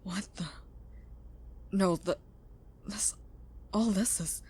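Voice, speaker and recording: A woman's voice: mid-range voice, slow pace, darkish tone, slight background noise, natural voice. A woman playing an earnest, curious scientist in a scripted audio drama. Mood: stunned. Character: earnest, curious female scientist who built a robot. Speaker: voice actor in an audio roleplay.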